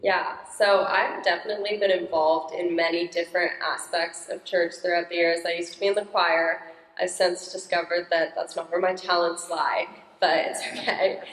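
A teenage girl speaking as a guest, with a naturally loud, upbeat voice, into a handheld microphone in a church. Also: fairly low pitch, level tone, normal speed. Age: teens. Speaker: young parishioner guest. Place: large church sanctuary. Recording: echoey church hall.

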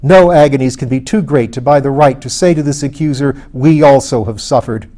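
A man's voice: medium pitch, takes it slowly, a little mellow, lightly acted. A man reading a storybook, voicing an earnest defender of order in fervent, oratorical speech. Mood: fervent, indignant.

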